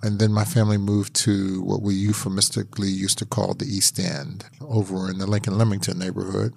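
A middle-aged man from Pittsburgh, telling his life story in a measured, thoughtful interview. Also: low-pitched, darkish tone, no room sound. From Pittsburgh, USA. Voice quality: gravelly. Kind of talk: reflective oral history interview.

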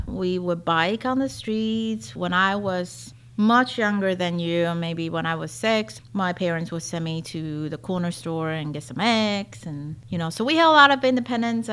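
A middle-aged woman from Taiwan speaks with a Taiwanese accent. Her pitch sits a little low for a woman. She tells stories from her own life in plain, short, clearly phrased sentences. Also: a bit bright. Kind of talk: personal storytelling.

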